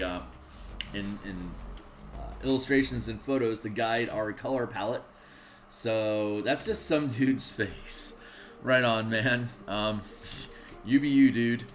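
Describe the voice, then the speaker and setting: A raspy, tired man chatting casually on a live stream while making game art, rambling and muttering to himself as he works. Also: fairly low pitch, a bit bright. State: tired, just woke up.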